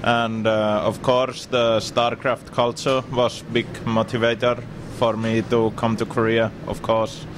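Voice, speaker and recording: A man's voice: medium pitch, little pitch movement, a little slow, few pauses, a little hiss or hum. A young man, an exchange student, speaking stiffly and carefully into a handheld mic. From Finland. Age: young adult.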